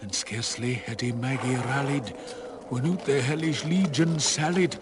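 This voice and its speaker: A man giving a dramatic, theatrical recitation of a narrative poem, swinging between hushed and roaring delivery. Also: medium pitch, normal speed, background noise. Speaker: poetry reciter.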